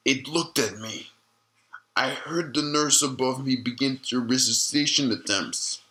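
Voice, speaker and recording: A haunted old doctor, played by a man, gives grave testimony in an audio drama. His delivery is slow and deliberate, with a bright, forceful edge to the voice and plenty of pauses. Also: medium pitch. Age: older. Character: elderly doctor haunted by a grim memory. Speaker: retired obstetrician being interviewed.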